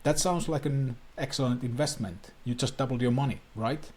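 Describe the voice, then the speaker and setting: Finnish man, native Finnish speaker, marketing coach explainer, husky mid-pitched voice, earnest and plain. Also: moderate pace, a little mellow. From Finland. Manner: earnest, plain non-native phrasing with small restarts. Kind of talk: talking-head explainer to camera.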